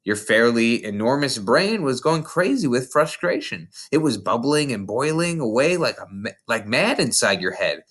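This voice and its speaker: An adult reader voicing a kind, thoughtful woman schoolteacher in a storybook, gently explaining. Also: mid-range voice, very animated, moderate pace, few pauses. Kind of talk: character dialogue in storybook reading.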